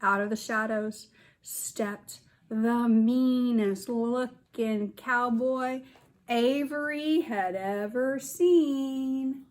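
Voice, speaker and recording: Slow-paced woman reading to young children. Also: a little high, darkish tone. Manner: explains asides simply to young children. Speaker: kindergarten teacher. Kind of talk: children's storybook read-aloud.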